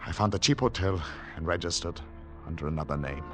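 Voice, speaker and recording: An actor playing a haunted secret agent on the run, narrating in dramatic, deliberate, clipped phrases in 1940s radio thriller style. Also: male voice, sits low, loud music behind the voice, natural voice. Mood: urgent, controlled. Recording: noisy 1940s radio recording with clicks.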